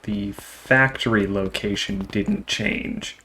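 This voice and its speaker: A man's voice: lowish voice, sing-song, slow pace, gravelly, bright, forceful tone. Casual man chatting over a noisy recording. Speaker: host of a game playthrough. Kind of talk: casual let's play chat, reading game dialogue aloud.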